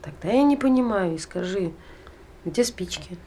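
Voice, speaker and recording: Mid-pitched female voice, ordinary mother, blunt, sarcastic, restless, conversational stage drama. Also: lively intonation, normal speed, dark tone. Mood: curious, probing.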